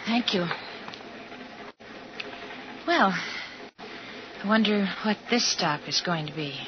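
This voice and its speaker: A woman's voice: mid-range voice, expressive, takes it slowly. An actress playing an indignant, bewildered young woman on a train in a 1950s radio thriller, flustered and protesting. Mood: polite. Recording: dull, noisy 1950s radio recording.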